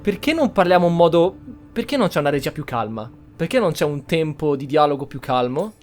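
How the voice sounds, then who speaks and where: A man hosts a casual let's-play. His voice sits a little high, and he talks in a conversational, opinionated way. Faint music runs in the background. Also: very animated, quick pace. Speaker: gaming channel host. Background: faint game music.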